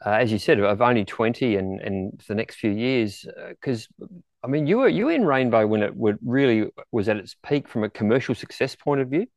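A man hosting a conversational interview, feeling his way haltingly into his questions. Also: mid-range voice, lively intonation, a little mellow, dry recording, studio quality. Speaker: interviewer, show host.

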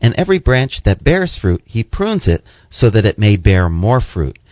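A man's voice: on the low side, sing-song, normal speed, no room sound. A man reading scripture aloud in a formal style. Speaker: scripture reader. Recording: dull, lo-fi audio.

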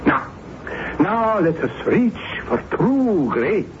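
A man's voice: high-pitched, big pitch swings, takes it slowly, full character voice. A man playing a pompous, florid, coaxing theater director in a 1970s radio drama. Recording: dull, slightly noisy 1970s radio recording.

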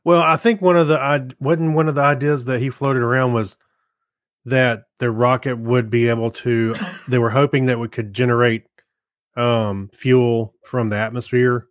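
A male co-host with an average-pitched voice, chatting casually. His delivery is low-key and a little tentative, and the sound is dry with no room echo. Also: fairly even intonation, moderate pace. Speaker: podcast co-host. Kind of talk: casual chat.

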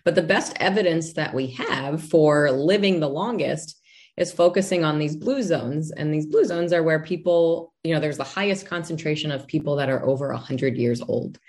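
Low-voiced woman explaining health stuff, measured and clear. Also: a little mellow. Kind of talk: casual conversation.

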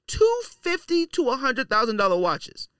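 A man's voice: very high voice, huge pitch swings, moderate pace, pushed and bright, clean tone, no echo, clean studio sound. A man hosting a podcast in a casual, opinionated monologue, blunt and slangy, repeating phrases for emphasis. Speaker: podcast host.

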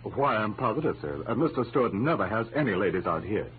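An actor playing a formal, deferential, prim butler in a 1940s radio drama, on a muffled old recording. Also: male voice, fairly low pitch, lively intonation, quick pace, slight background noise, lightly acted. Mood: deferential, earnest. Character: proper, deferential male butler.

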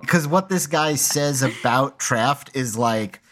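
A man giving casual commentary as a show creator, reflective and somewhat halting. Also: medium pitch, fairly bright tone.

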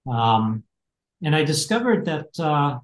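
A man's voice: on the high side, very animated, slow. American man in his fifties, reflective. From United States. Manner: reflective, many fillers and restarts. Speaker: guest, executive coach. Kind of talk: casual interview talk. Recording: roomy echo.

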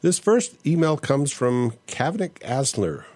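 A man hosting a casual podcast, talking in a relaxed, conversational way and reading listener emails aloud. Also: medium pitch, slow, no room sound, soft background music. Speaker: podcast host. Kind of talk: casual solo podcast with ad read and listener mail.

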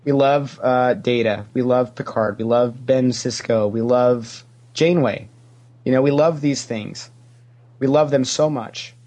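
A man talks as a guest in a relaxed fan conversation. His voice sits at an ordinary middle pitch. He states opinions firmly and repeats phrases to press each point. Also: a little slow. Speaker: podcast interview guest. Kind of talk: casual fan discussion.

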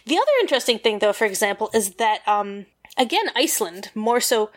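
A woman's voice: fairly high pitch, animated, brisk. A woman in a casual podcast chat, explaining history with lots of fillers and rambling asides.

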